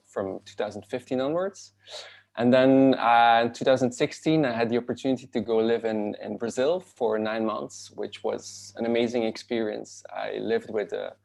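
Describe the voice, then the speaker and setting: A man's voice: medium pitch, vocal fry, a little mellow. A man in his thirties from Belgium, introducing himself on a video call panel, talking in a loose way with many fillers and restarts. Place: home room. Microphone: laptop video call.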